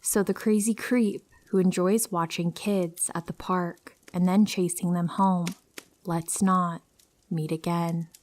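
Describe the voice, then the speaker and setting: A woman narrating true horror stories in a calm, steady first-person reading. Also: fairly low pitch, slow pace, a little hiss or hum.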